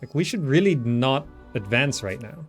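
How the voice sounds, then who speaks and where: A man's voice: medium pitch, expressive, normal speed. A man giving casual gameplay commentary, thinking aloud and often trailing off as he muses to himself. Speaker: gaming video host. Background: background game music.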